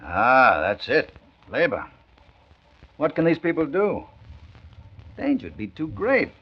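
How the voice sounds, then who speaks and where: An actor playing a brisk, skeptical, proud male factory manager with a blunt businesslike manner in a 1940s radio drama. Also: medium pitch, sing-song, slightly rough, full character voice. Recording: muffled old 1940s radio recording.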